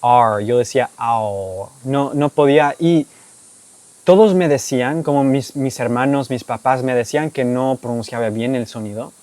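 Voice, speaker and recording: A young American man from Texas, a native English speaker, speaking Spanish with an American accent. He answers viewer questions in a relaxed storytelling way, with restarts and soft laughs. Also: medium pitch, normal speed, no room sound. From United States (Texas). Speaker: language-learning YouTuber. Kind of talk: casual Q&A monologue to camera.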